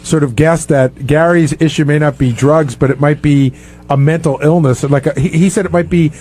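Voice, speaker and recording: Casual man with a slightly high voice. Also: steady tone, normal speed, darkish tone. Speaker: radio show host and staffer. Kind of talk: casual chat, animated anecdotes.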